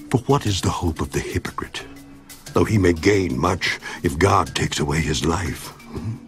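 A man's voice: deep voice, a bit bright, heavily acted. A man voicing Job, an aged, suffering but steadfast man, in a dramatized Bible reading with solemn, declamatory delivery. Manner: solemn, declamatory, rising and falling emphasis. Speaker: voice actor in a Bible audio drama.

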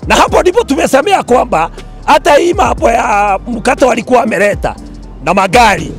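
A Kenyan man speaking as a spokesman at an outdoor street protest, forceful and shouting at times into a handheld mic. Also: very high-pitched, animated, a little fast, faint music behind. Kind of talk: impassioned street speech. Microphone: handheld mic held up to him.

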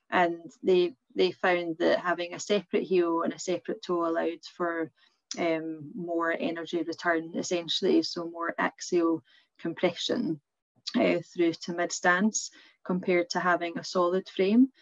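Woman, 30s, Glasgow Scottish accent, lowish voice, casual webinar presenter, dry and slightly dull video-call sound. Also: no room sound. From Scotland. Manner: chatty, informal, many ums and restarts.

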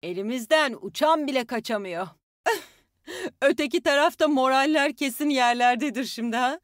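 A woman's voice: high voice, moderate pace, dry recording, studio quality, natural voice. An actress playing a spirited, tough young woman fighter in a video game, with folksy, confident call-outs to her team. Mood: determined, encouraging. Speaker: game character voice actor.

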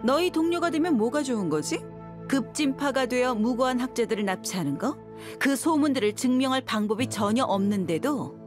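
Woman playing a cool, high-voiced mercenary woman. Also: lively intonation, brisk, lightly acted. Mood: sardonic. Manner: cool, taunting, self-assured. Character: confident, sardonic young woman desert mercenary. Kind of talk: video game voice acting. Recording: music behind the voice.

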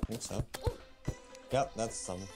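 A man's voice: lowish voice, normal speed. A man chatting casually as the co-op partner in a gaming video, often in short, offhand replies. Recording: music playing behind the voice.

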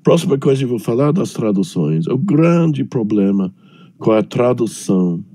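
An older Englishman speaks with an English accent through a headset on a video call, his voice hoarse from illness. He talks slowly and haltingly, searching for words, in a low, mellow tone. The sound is dry and close. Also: sing-song, dry recording. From England, Birmingham. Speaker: panelist, professor. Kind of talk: online panel talk. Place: home room with bookshelves.

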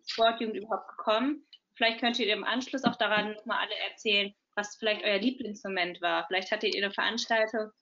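Bright young woman hosting a video call. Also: mid-range voice. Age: 20s. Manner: friendly, asks questions. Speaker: podcast host. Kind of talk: video call podcast interview. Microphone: video call from home. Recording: dull lo-fi video call audio.

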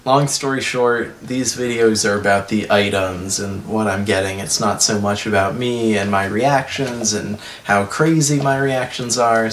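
A young man, a gaming YouTuber, talking casually and low-key over his gameplay in a slightly hoarse voice, with lots of fillers. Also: a little hiss or hum. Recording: roomy echo with music underneath.

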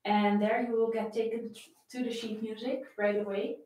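A woman's voice: a little high, level tone, normal speed, a little mellow, very echoey, recorded from a distance, rough recording. A young woman with a Dutch accent, a violin teacher chatting and greeting viewers by name before a live-stream lesson from her home. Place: home room with framed pictures, indoors.

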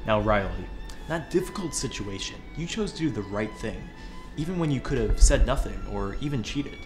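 A brash, puffed-up TV presenter played by a man who announces everything emphatically. The pitch swings widely, and the voice sits in a roomy space over clear music. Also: huge pitch swings, moderate pace. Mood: presenting. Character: brash, self-important male TV hidden-camera show host. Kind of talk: parody TV presenting. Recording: roomy, distant mic, music behind.

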